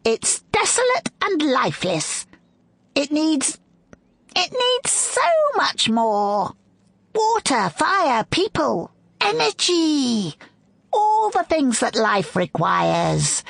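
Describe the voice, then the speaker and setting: A woman's voice: sits high, gravelly, fairly bright tone, husky, no echo, voice pushed up, exaggerated voice. A woman voicing a sneering, cynical old male raven with a cackling, scornful manner.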